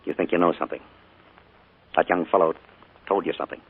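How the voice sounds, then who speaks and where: An actor playing a charming but sinister middle-aged uncle, a killer, in a 1940s radio drama. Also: male voice, sits low, little pitch movement, fast. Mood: menacing. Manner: smooth, then cold and contemptuous. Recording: tinny 1940s radio broadcast with music.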